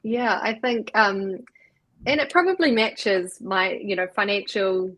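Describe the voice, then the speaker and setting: Woman from New Zealand, business guest, thoughtful and halting, dry close mic. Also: medium pitch, fairly bright tone, no room sound, rough recording. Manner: reflective, many fillers and restarts. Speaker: co-founder and co-CEO, guest. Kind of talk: casual interview answers.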